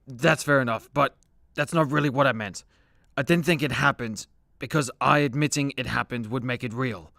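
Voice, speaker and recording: A man's voice: mid-range voice, moderate pace, vocal fry, bright, forceful tone, dry recording, studio quality. A man playing a weary, hard-drinking immortal Greek superhero in a comedy radio play, hesitant, sheepish and self-deprecating. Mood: sheepish, apologetic.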